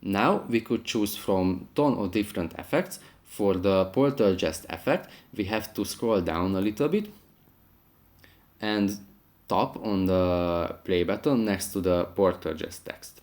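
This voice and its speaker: A man's voice: low voice, a little slow, darkish tone. A man hosting a how-to tutorial, giving scripted step-by-step narration. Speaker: tutorial host.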